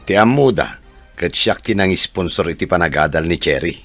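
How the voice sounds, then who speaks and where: A man's voice: low-pitched, lively intonation, normal speed, fairly bright tone, faint music behind, heavily acted. A man playing an easygoing, evasive engineer and boss in an audio drama, with a hesitant manner. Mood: sly. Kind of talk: Filipino audio drama acting.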